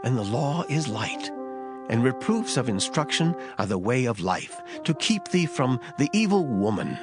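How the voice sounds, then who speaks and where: A man of medium pitch reads scripture as a dramatic narrator. His delivery is solemn and declamatory, with wide, lively intonation. Guitar-like music plays clearly behind him. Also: normal speed. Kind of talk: dramatised scripture reading in archaic English.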